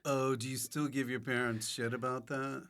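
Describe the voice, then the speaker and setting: A man hosting a podcast in a casual, comic way, with an offbeat, halting manner. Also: medium pitch, flat delivery, a bit bright. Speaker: podcast host.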